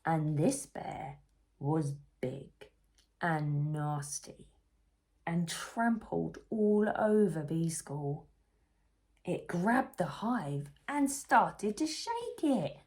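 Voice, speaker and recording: Female storybook reader for young children, lively swooping pitch, slow pace, soft-edged mellow tone. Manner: animated, unhurried, asks the listener questions. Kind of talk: expressive storybook read-aloud for young children.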